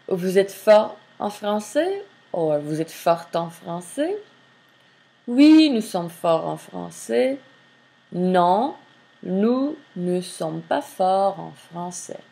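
A slow, deliberate woman teaching French, her voice low and gravelly with a mellow, dark tone. She reads each textbook line aloud, then translates it plainly into English. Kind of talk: screen-recorded textbook walkthrough.

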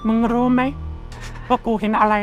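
A woman plays a boastful cartoon schoolkid with a high voice, telling a ghost story. The phrases come out halting and drawn out for suspense, with clear music playing behind. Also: little pitch movement, lightly acted. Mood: suspenseful. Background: clear background music.

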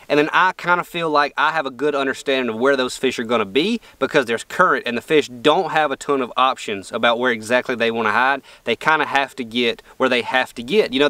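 A man, a pro angler explaining fishing in a relaxed, conversational way, sitting on a boat outdoors. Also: mid-range voice, dry recording, recorded from a distance. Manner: relaxed, conversational, drawling fillers like "kinda" and "you know". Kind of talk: casual instructional vlog.

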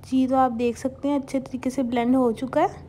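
A young woman hosting a home cooking channel, calmly talking viewers through a recipe step by step and mixing English cooking terms into her speech. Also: sits high, brisk, a little hiss or hum. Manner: calm, instructive, mixes English cooking terms into Hindustani speech.

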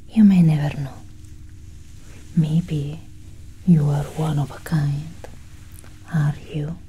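A cool, curious alien abductress acted by a woman whispers in a low, very dark and extremely breathy voice. She speaks softly and intimately to her human specimen, with a condescending air, as in a close-miked ASMR roleplay. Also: full character voice, rough recording. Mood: bored, superior. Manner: slow, soft, condescending, intimate. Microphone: close microphone.

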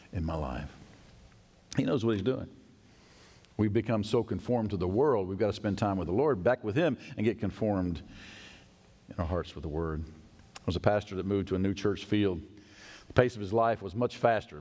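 A middle-aged man preaching a sermon as pastor in a large church sanctuary, animated, acting out hurried voices and dropping to a hush. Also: fairly low pitch. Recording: long church reverb.

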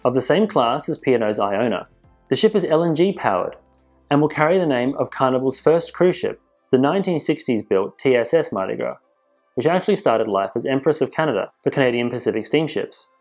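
Male news presenter, informative measured voice-over with quiet music bed. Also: medium pitch, animated. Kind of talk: scripted narration. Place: voice-over, not in pictured places.

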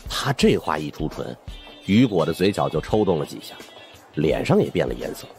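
A deep-voiced man tells a suspense story in the first person. His delivery swings widely and dramatically, with music playing underneath. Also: sing-song, moderate pace, vocal fry, darkish tone. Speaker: audiobook narrator.

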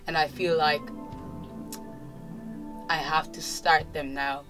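Low-voiced young woman, relaxed and thoughtful. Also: slow pace, music in the background. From Zimbabwe. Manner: relaxed, thoughtful, casual fillers. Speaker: musician guest. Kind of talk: reflective interview answers. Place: indoor studio with clothing racks.

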